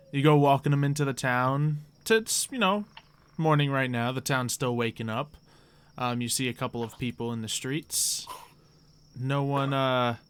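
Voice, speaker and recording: Guy game mastering a tabletop rpg, casual improvised narration, kinda halting, crisp forward voice. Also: medium pitch, a bit bright.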